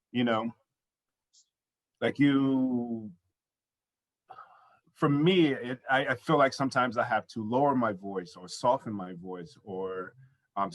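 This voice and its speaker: A man's voice: medium pitch, fairly bright tone. A man speaking casually as a panel guest, with lots of fillers and loose phrasing. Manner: loose, many fillers like um and you know.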